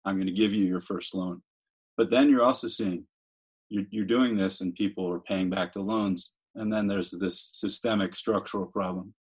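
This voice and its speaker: A man's voice: low voice, steady tone, moderate pace, slightly rough, rough recording. A man hosting the discussion, summing up his guest's work back to her. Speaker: host.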